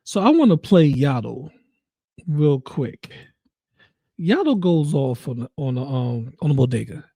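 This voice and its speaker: A man casually commenting on a live stream, pausing and restarting often as he sets up a clip. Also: medium pitch, sing-song, warm tone, slightly rough, no echo. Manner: halting, many restarts and fillers, easygoing.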